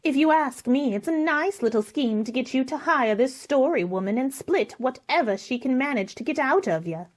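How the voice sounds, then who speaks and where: A woman playing a sharp-tongued, suspicious society woman in a radio mystery play, sneering and blunt. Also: high-pitched, animated, normal speed, hardly any pauses. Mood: scornful. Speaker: actor in an amateur radio play. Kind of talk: radio mystery drama acting.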